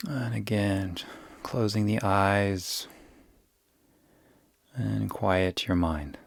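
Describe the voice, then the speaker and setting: A man leading a guided yoga nidra meditation, giving gentle, soothing instructions in an unhurried way. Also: lowish voice, vocal fry, no echo.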